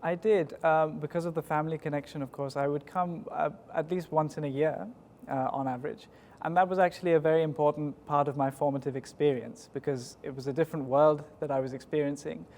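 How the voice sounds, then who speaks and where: A man in his twenties with a southern English accent, giving measured, articulate and polite interview answers in a formal way. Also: fairly high pitch, steady tone, a little mellow. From United Kingdom. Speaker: school executive, interview guest. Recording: echoey hall with background noise.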